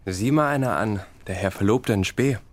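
A man's voice: fairly low pitch, normal speed, slight background noise, lightly acted. An actor in a German radio drama playing a cheeky young man who talks in a playful, teasing way. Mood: provocative.

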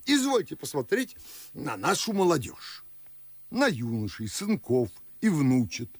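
An actor playing a pompous, garrulous older Moscow nobleman, declaiming husky-voiced in old-fashioned theatrical verse for a radio play. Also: on the high side, wildly swooping pitch, a little slow, dry recording, exaggerated voice. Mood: grumbling, opinionated.

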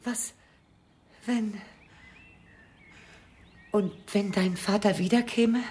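A woman's voice: medium pitch, fairly even intonation, drawn-out words, dark tone. A woman playing a fragile, despairing middle-aged mother in a radio drama, speaking anxiously and pleadingly. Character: fragile, despairing abandoned mother of a teenage son. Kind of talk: German radio crime drama acting.

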